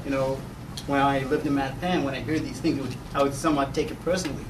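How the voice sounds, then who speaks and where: A man's voice: mid-range voice, steady tone, fast talker. A man answering informally on a discussion panel. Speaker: news editor on a discussion panel. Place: event room at a live panel discussion. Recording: noisy room, distant microphone.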